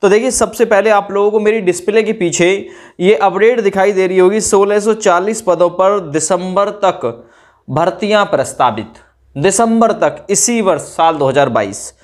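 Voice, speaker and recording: A man's voice: high-pitched, normal speed. A man speaks. He explains news updates informally, like an online host. His delivery is conversational and explanatory, speaking straight to his viewers. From India. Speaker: YouTube host giving recruitment exam updates.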